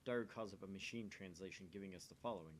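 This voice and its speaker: A man's voice: low voice, fast talker, slight background noise. A man reading a visual novel's narration and dialogue aloud in a dramatic reading. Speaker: amateur voice reader of a visual novel.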